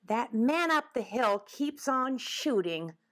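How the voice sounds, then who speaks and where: A woman's voice: on the high side, expressive, slow, a bit bright, voice pushed up. A woman voicing a fussy, nervous male woodchuck in a children's storybook, with a folksy, formal and dramatic way of talking. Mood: worried.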